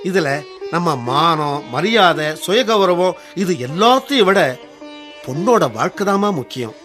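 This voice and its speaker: A man's voice: sits high, animated, unhurried, fairly bright tone. A man playing a middle-aged, dignified and conciliatory uncle in a Tamil radio drama, speaking with earnest emphasis. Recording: faint music bed under the voice.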